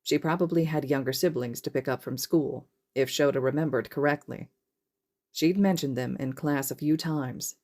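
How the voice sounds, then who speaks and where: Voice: mid-range voice, moderate pace, darkish tone. A narrator reading scripted fiction as an audiobook-style story. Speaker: podfic narrator.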